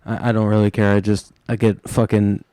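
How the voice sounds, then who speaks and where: A man's voice: on the low side, monotone, fairly quick, dark tone, clean tone, no room sound. A male comedian guest in casual podcast banter, sardonic and self-deprecating, who swears freely. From United States. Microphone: cheap headphones, studio mic.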